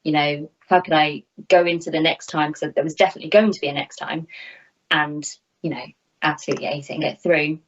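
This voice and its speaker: A woman's voice: low voice, steady tone, brisk. A woman in her thirties giving candid, self-deprecating, conversational answers as an interview guest from a small room at home. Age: 30s. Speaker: air traffic controller, interview guest. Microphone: video call, laptop at arm's length. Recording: slightly dull video-call audio.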